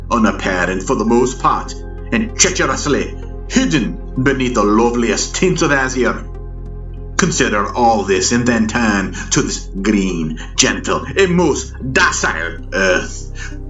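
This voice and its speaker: A man's voice: medium pitch, reverberant, muffled recording, pitched above the performer's own voice. Half-mad theatrical declaimer, played by a man. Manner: shouting, grandly declamatory.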